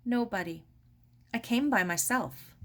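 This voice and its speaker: A woman's voice: fairly high pitch, unhurried, fairly bright tone, pitched above the performer's own voice. A woman voicing a plucky, well-mannered young girl in a storybook. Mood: proud.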